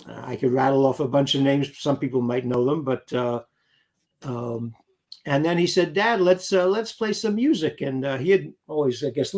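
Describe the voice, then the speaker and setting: A man's voice: medium pitch, darkish tone. An older man from New York City, a guest musician telling his story in a rambling, anecdotal way with many fillers. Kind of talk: casual interview chat.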